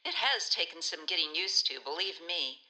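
A woman's voice: fairly low pitch, lively intonation, normal speed, sharp tone, exaggerated voice, rough recording. A woman playing a wry, teasing social services worker in a community radio play. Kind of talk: community radio drama acting.

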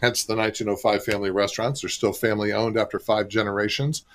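A man from Florida, a restaurant marketing executive and co-author, talking in a relaxed, chatty way with lots of fillers. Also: on the low side, fast, slight background noise. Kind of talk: radio interview guest.

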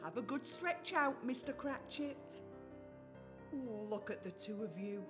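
A woman's voice: a little high, expressive, measured pace. An actress playing a busy, fond mother who scolds in a mock-serious way in a radio play. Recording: radio play with music underneath.

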